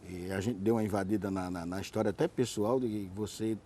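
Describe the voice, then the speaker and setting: A man hosting a conversational interview, feeling his way through questions in a hesitant, halting manner. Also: fairly low pitch, steady tone, dark tone. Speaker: interviewer, show host.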